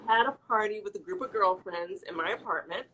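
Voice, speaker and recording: A woman's voice: mid-range voice, brisk, a bit bright, slight background noise, low-quality recording. A middle-aged woman from the American Midwest, chatting casually as an interview guest, laughing often and restarting her sentences.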